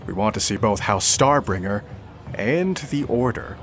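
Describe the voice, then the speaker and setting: An actor playing an earnest, impatient young rebel in an audio drama, speaking in a curt, insistent way. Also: male voice, lowish voice, expressive, a little slow, background noise. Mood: indignant, resolute.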